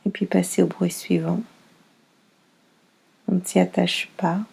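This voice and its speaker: Slow, husky woman guiding meditation. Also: on the low side, level tone, dark tone, low-quality recording. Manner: soothing, unhurried, instructing in short pausing phrases. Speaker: yoga nidra instructor. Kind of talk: guided relaxation meditation.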